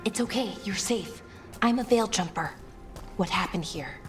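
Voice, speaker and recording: An actress playing a spirited, protective female ranger in a fantasy video game, quick to act and indignant. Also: mid-range voice, soft background music, lightly acted. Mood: reassuring.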